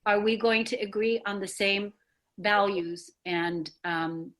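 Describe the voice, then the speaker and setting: A woman hosting a discussion show in a conversational, somewhat halting and rambling way. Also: medium pitch, a bit bright. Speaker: talk show host.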